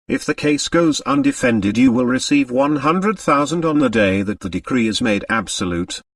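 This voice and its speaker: A man's voice: on the high side, sing-song, moderate pace, gravelly, no echo, far from the mic, voice pushed up, full character voice. A man voicing a stolid, formal go-between in an audiobook novel, careful, curt and reluctant in manner.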